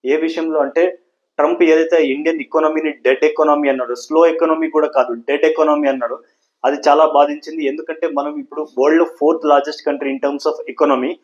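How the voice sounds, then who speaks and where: A man hosts a news explainer in a fairly high voice. He lays out points in an explanatory way, dropping English words into his sentences. The audio sounds a little dull and band-limited. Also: little pitch movement, a little fast. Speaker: host of a news explainer channel. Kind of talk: news commentary explainer to camera.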